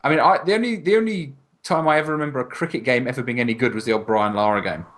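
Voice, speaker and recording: A man's voice: mid-range voice, very fast, a bit bright. A man co-hosting a gaming podcast in casual conversation, wry and opinionated. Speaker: podcast co-host.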